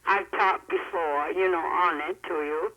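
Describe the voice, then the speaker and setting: A woman's voice: lowish voice, steady tone, measured pace, pushed and bright. A woman in her seventies from Maine chatting appreciatively as a caller to a radio show. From Maine, United States. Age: 70s. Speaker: phone-in caller. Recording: muffled 1970s telephone line on radio.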